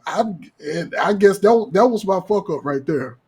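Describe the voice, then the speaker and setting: Animated, high-voiced husky man telling stories. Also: normal speed. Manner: animated, acts out dialogue, slangy and profane. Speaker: podcast co-host. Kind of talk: casual storytelling on a podcast.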